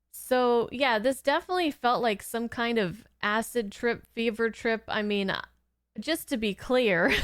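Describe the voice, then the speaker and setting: A woman's voice: on the high side, smooth, no echo, studio quality. A woman giving casual reaction commentary in an informal, giggly, rambling way. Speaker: host of a reaction channel.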